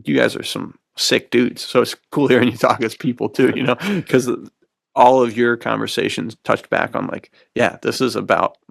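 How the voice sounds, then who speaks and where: A man with a creaky, fry-laden voice hosts a casual podcast. He is effusive and informal, full of slang, and recorded close and dry. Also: mid-range voice, normal speed, no room sound. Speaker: podcast host.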